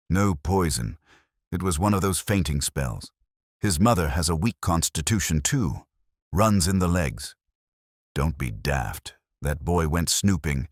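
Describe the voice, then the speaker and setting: A man's voice: very deep voice, no room sound, studio quality, exaggerated voice. A man playing gossiping, suspicious village churchgoers with an opinionated, colloquial manner in a mystery story.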